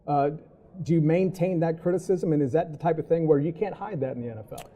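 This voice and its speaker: Male, forties, sports host, deeply mellow and soft-edged tone, slightly higher pitch, conversational interviewing. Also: fast talker, dull audio. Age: 40s.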